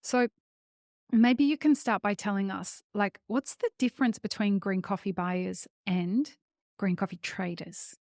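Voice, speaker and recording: A woman's voice: medium pitch, steady tone, measured pace, a little mellow, no echo, studio quality. A woman hosting a podcast, talking to her listeners in an enthusiastic, conversational way and often calling them folks. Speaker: podcast host.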